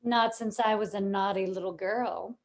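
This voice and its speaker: A woman's voice: mid-range voice, fairly even intonation, normal speed, very noisy, natural voice. A woman playing a coy, calculating, murderous young bride in a vintage-style radio play. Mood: coy, guarded. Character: coy, greedy, murderous young bride, sly femme fatale.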